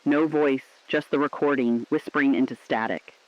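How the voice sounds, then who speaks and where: Dull, band-limited audio carries a man acting as a solemn radio correspondent with a medium-pitched voice. He reports humanity's collapse in grave, deliberate, poetic scripted narration. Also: steady tone, lightly acted. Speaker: radio broadcaster.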